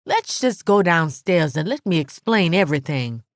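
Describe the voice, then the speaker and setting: A woman's voice: on the low side, expressive, normal speed, dry recording, studio quality, close to an everyday voice. A woman playing a guilty, pleading friend. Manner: imploring, placating. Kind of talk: dramatic audiobook character dialogue.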